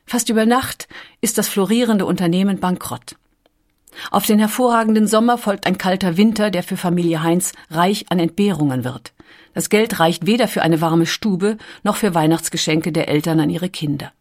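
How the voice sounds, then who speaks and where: A woman reading scripted documentary audiobook narration in a measured, articulate storytelling manner. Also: lowish voice, a little mellow.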